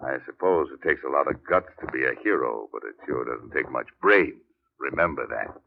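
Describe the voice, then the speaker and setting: An actor playing an aging, tired and wily crime boss with a gravelly, husky voice, emphatic in an old radio drama style. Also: male voice, very deep voice, animated, moderate pace, full character voice. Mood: stern, threatening. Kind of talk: 1940s-50s radio acting. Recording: muffled, narrow-band old radio recording.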